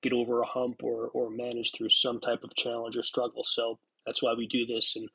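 A husky man in his forties talks through a solo podcast episode. He sounds stuffy from a cold and now and then clears his throat. He rambles reflectively, full of fillers and restarts. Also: medium pitch, completely flat delivery, fairly quick, bright, forceful tone, poor audio. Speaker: podcast host. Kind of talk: casual solo podcast monologue.

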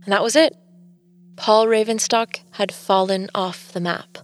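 A woman's voice: mid-range voice, steady tone, measured pace, close to an everyday voice. An actress playing a determined, haunted woman artist in an audio drama, speaking earnestly and confidingly. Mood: haunted, reflective. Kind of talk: audio drama acting with first-person narration.

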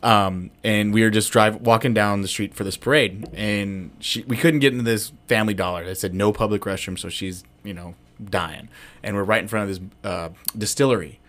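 A man's voice: fairly low pitch, fairly even intonation, moderate pace, fairly bright tone. A man from Texas, co-host of a podcast, telling loose stories in casual chat and laughing often.

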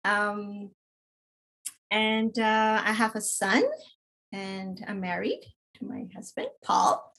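A middle-aged woman from Colombia, a podcast guest sharing her story softly, with many pauses. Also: mid-range voice, poor audio. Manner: soft-spoken, many fillers and pauses. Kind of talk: casual personal testimony.

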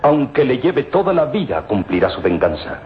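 An actor playing a grave, pensive older father and tomb explorer, speaking deliberately in theatrical old radio drama style. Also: medium pitch, very animated, natural voice. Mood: solemn. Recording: dull, noisy vintage radio recording.

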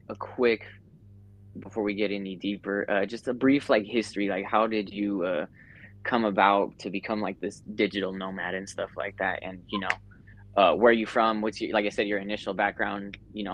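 A man's voice: on the low side, little pitch movement, moderate pace, a bit bright, low-quality recording. A man from the United States hosting a podcast, asking rambling questions full of fillers like "like" and "you know". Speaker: podcast host. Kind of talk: casual interview chat.

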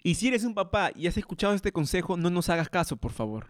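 A man's voice: high voice, sing-song, quick pace, no room sound, clean studio sound. A young man co-hosting a podcast in casual banter, rambling and joking and stumbling over his words. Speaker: podcast co-host.

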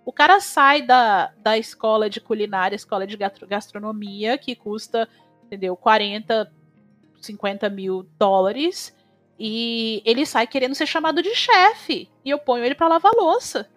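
Animated Brazilian woman chef, opinionated. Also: a little high, normal speed, no room sound, faint music behind. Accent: Brazilian Portuguese. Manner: animated, opinionated, rising sharply when emphatic. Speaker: chef, guest. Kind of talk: casual conversation.

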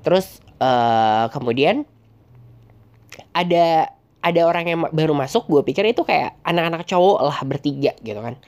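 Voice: mid-range voice, lively intonation, normal speed, long pauses, a little mellow. A young podcast host, nasal and congested from a cold. The delivery is loose and chatty and wanders from point to point, full of hesitations. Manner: rambling, chatty, many hesitations. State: stuffy nose from a cold. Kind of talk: casual solo storytelling.

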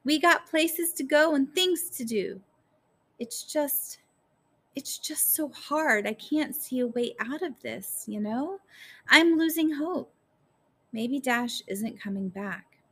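A woman's voice: high voice, takes it slowly, a bit bright, voice pushed up, heavily acted. A woman voicing a despairing young mother in an audiobook, emotional and fraying. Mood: tearful despair.

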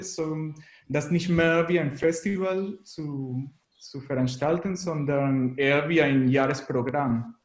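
A man's voice: a little high, reverberant. A man from Venezuela with a Venezuelan Spanish accent, answering casually as an interview guest and searching for words in a language that is not his native one. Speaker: interview guest, musician and graphic designer.

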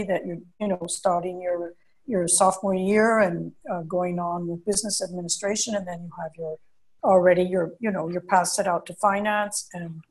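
A woman's voice: medium pitch, a little mellow, slightly rough, rough recording. A woman working as an educational consultant, hosting an interview and chatting in a friendly way, often filling her speech with "you know" and "uh". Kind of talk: conversational interview.

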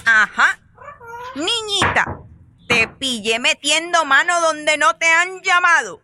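A woman playing a bossy but tender grandmother in a radio play, with a Colombian accent and a scolding, drawn-out way of talking. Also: sits high, animated, background noise. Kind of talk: radio theatre acting.

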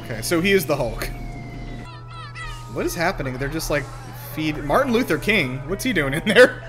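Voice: medium pitch, animated, moderate pace. A podcast co-host giving casual, sarcastic, joking reactions to a movie, with the film playing behind. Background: film music and dialogue.

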